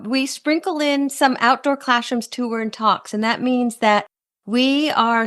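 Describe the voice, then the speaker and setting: A woman's voice: fairly bright tone, no room sound, clean studio sound. A middle-aged woman hosting a podcast, reading a guest introduction and then chatting with enthusiasm. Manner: enthusiastic, with sudden high lifts in pitch. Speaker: podcast host.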